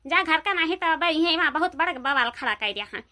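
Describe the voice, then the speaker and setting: A woman's voice: high voice, expressive, a little fast, pushed and bright. A woman voicing an outspoken, disapproving village neighbour with an Awadhi accent in a comedy cartoon, chiding others. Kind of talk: Hindi dialect comedy cartoon dialogue.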